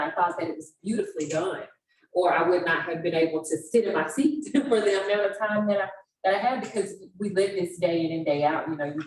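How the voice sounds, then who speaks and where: A woman with a low voice speaks on a panel in an echoey room. She is earnest and conversational, repeating words for emphasis. The mic is slightly distant, with faint noise. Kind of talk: impassioned, conversational panel commentary.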